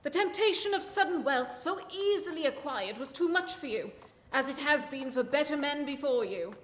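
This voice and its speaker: A woman as high-voiced, commanding Sherlock Holmes. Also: lively intonation, slight background noise. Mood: coolly triumphant. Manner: measured, authoritative, explanatory. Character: Sherlock Holmes, commanding, coolly confident detective. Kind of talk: festive radio play acting. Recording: long hall reverb, loud music underneath.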